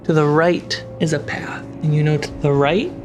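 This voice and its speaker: A game master narrating a tabletop fantasy game in a measured, dramatic way, pausing between phrases. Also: mid-range voice, fairly even intonation, music in the background.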